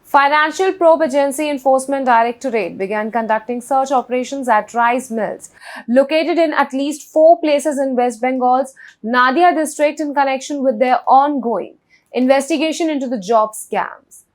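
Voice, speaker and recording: A woman's voice: sits high, moderate pace. A woman with an Indian English accent reading scripted news as an anchor.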